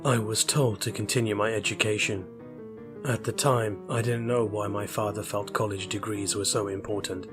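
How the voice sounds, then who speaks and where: A man's voice: on the low side, fairly even intonation, normal speed, music in the background. A man reading short fiction aloud as scripted narration. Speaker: story reader.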